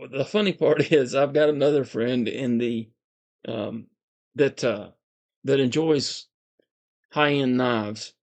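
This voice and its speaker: A man with a calm, soothing voice, hosting a casual livestream, reading viewer comments in a relaxed, meandering way. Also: medium pitch, creaky. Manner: relaxed, meandering, frequent fillers and soft chuckles. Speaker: livestream host.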